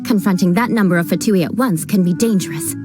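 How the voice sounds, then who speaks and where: A woman's voice: medium pitch, fast talker, lightly acted. An actress playing a proud young noblewoman knight captain who talks with dry haughtiness and vows vengeance over every little slight. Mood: cool. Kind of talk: video game voice acting. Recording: game dialogue with background music.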